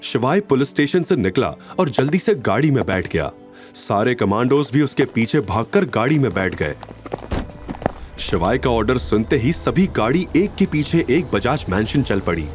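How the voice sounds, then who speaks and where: A man delivers dramatic scripted story narration. His mid-pitched voice moves widely in tone, with soft music behind it. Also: sing-song, moderate pace. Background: background music.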